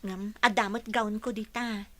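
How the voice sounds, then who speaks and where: A woman's voice: mid-range voice, fairly even intonation, unhurried, dry recording, close to an everyday voice. A woman playing a plucky young housekeeper and dutiful daughter in an Ilocano radio drama, earnest, respectful but pert. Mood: earnest, affectionate.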